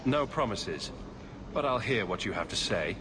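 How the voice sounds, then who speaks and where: An actor playing a terse, guarded adventurer hero in video game dialogue. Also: male voice, on the low side, expressive, normal speed, background noise, natural voice. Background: game music.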